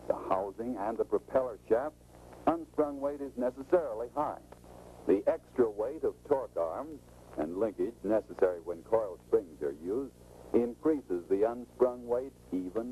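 A man's voice: fairly low pitch, phone-quality sound, slight background noise. A middle-aged American man giving scripted, instructive, salesmanlike narration in the style of a 1940s training film, on an old film soundtrack. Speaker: automotive expert presenting a sales training film.

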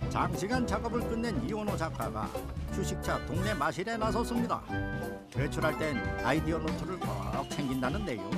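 Upbeat documentary narrator, animated lilt, loud background music. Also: medium pitch, a little fast. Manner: playful, lively delivery. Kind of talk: scripted narration.

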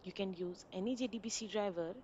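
A woman of middle pitch talks through a software installation one step at a time, in a plain instructional voice. A faint hiss sits under her voice-over. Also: fairly even intonation, quick pace, highs cut off. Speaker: software tutorial narrator. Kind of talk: screen-recorded installation walkthrough.

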